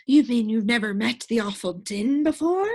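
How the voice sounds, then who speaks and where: A woman's voice: on the high side, animated, moderate pace, darkish tone, husky. A woman voicing a proud, exasperated male doctor in a storybook, lecturing emphatically with questions. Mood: proud, explaining.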